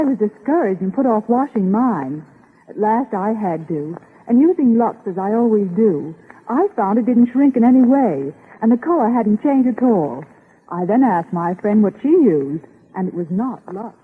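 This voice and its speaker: Husky female American voice, scripted 1930s radio testimonial, dull lo-fi recording. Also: mid-range voice.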